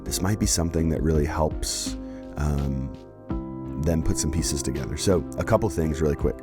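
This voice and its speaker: A man hosting and introducing an interview for his church community, talking earnestly with lots of fillers and quick asides. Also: very deep voice, loud music behind the voice. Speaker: pastor and host.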